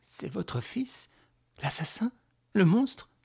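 Man as a fierce, protective mother, husky. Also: high-pitched, big pitch swings, slow pace, dark tone, voice pushed up, full character voice. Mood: agitated. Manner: urgent, commanding. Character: fierce protective mother, defiant and fearful for her son.

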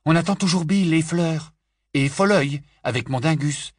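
A practical young girl who speaks briskly and plainly, as a male reader puts her on, slightly raised from his usual voice. The recording is close and dry. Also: fairly high pitch, no echo, voice pushed up, close to an everyday voice. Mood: steady.

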